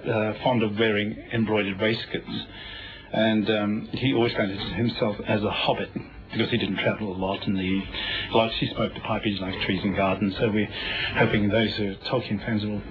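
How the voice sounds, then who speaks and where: A man's voice: on the low side, flat delivery, moderate pace, crowd noise. A man, a stage actor, talking conversationally in an interview. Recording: dull lo-fi broadcast copy.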